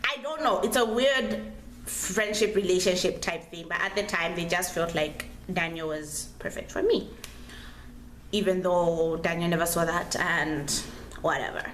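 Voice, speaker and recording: A woman's voice: on the low side, a little slow, a bit bright. A young woman telling a personal story casually, in a chatty, slangy, dramatic way, repeating phrases for emphasis. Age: young adult. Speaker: storytime vlogger. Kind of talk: casual animated storytelling to camera. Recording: roomy echo, clear background noise.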